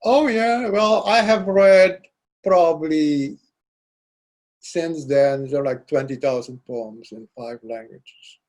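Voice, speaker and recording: An older man whose first language is Dutch, a poet being interviewed, speaking reflectively and pausing often to search for words. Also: fairly high pitch, darkish tone, poor audio. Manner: halting, searching for words, frequent restarts. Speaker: poet guest.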